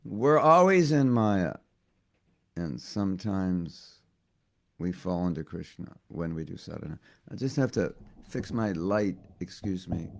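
A hoarse man giving a devotional class, talking haltingly and often repeating words as he thinks. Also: low voice, expressive. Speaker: spiritual teacher.

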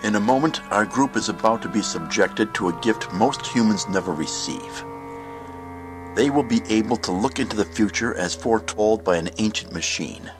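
Man as a low, portentous eerie-show narrator. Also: close to an everyday voice. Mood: ominous, solemn. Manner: measured, portentous. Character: solemn, ominous male anthology-show narrator. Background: music.

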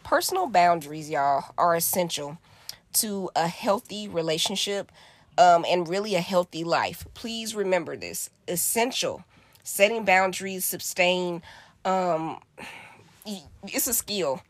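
A woman's voice: on the low side, expressive, a little slow, dry recording. A woman co-hosting a casual podcast, speaking emphatically and affirmingly. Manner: warm-hearted, emphatic, affirming. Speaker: podcast co-host.

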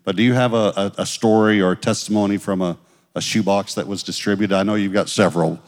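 A man's voice: lowish voice, fairly even intonation, fast. A man who is a pastor, hosting a conversational interview on stage and often repeating words as he goes. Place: large church auditorium, on stage. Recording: hall reverb, audience noise.

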